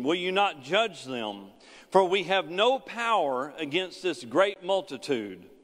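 A middle-aged male pastor preaching a sermon in a large church sanctuary, pacing the stage. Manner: preaching with wide swings in pitch and loudness, pacing the stage. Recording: long church hall reverb.

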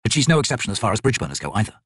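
A man's voice: fairly low pitch, sing-song, racing, a bit bright, slightly rough, no echo, exaggerated voice. Guy reading as a young army captain, guarded and formal, dry close sound, audiobook. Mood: clipped. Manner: formal, restrained.

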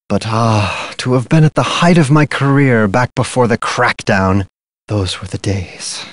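An actor playing a flamboyant, gossipy art dealer in a mystery game, with a melodramatic, florid delivery. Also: male voice, mid-range voice, no room sound, studio quality. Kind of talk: theatrical video game character acting.

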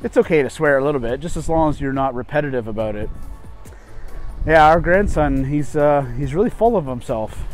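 A man's voice: on the high side, expressive, fairly quick, soft background music. A man in his forties teaching English in a casual, explanatory way through scripted conversation.

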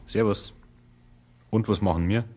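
A man's voice: on the low side, steady tone, unhurried, warm tone, soft background music, natural voice. An actor playing a blunt, sceptical male police detective in a radio crime drama. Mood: wry, blunt.

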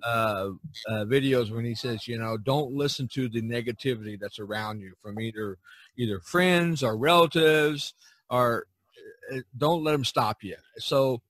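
Male podcast host, mid-pitched, rambling and halting storyteller in casual conversation, dry close sound. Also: fairly bright tone, no echo. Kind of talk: casual chat.

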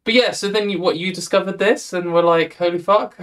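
A woman's voice: on the low side, brisk. A young woman hosting a casual chat over a video call, talking in a chatty way and laughing often. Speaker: host.

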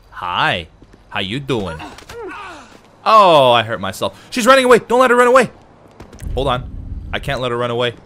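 Lively, bright male game commentator, slightly nasal from a cold, excitable. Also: medium pitch, a little hiss or hum. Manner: chatty, excitable, talks to viewers. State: has a cold, a bit nasal. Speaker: let's play host. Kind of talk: casual gameplay commentary.

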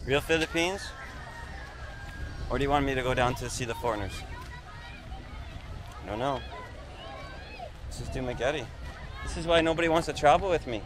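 A man's voice: mid-range voice, moderate pace, pauses often. A middle-aged man from Canada walking through a village and chatting with locals for his travel vlog, in short simple phrases. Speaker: travel vlogger. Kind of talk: casual walking vlog chat. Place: outdoors in a busy village neighborhood. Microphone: action camera held or worn on body. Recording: outdoor crowd and street noise.

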